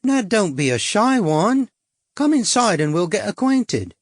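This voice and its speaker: A man's voice: high voice, sing-song, unhurried, no echo, clean studio sound, voice pushed up, full character voice. A man voicing a brassy, flirtatious London woman with a Cockney accent who drops her H's. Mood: coaxing.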